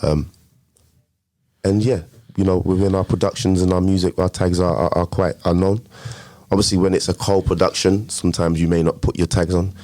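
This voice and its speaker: A man's voice: sits low, fairly even intonation, moderate pace, dark tone, no echo. A man with a London accent chatting casually and slangily as an interview guest, a music producer. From London, England. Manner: slangy, informal.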